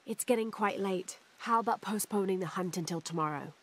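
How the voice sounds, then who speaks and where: An actress with a British accent playing a calm, determined young adventurer in a video game. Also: female voice, mid-range voice, fairly quick, close to an everyday voice. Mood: kind, coaxing. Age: young adult. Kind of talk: video game cutscene acting.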